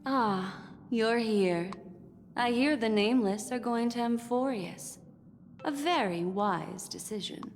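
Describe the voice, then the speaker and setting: An actress voicing a serene, mysterious woman in a video game, speaking in measured, poetic, formal phrases. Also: on the high side, slight background noise. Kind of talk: scripted game dialogue. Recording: voice in a long reverb.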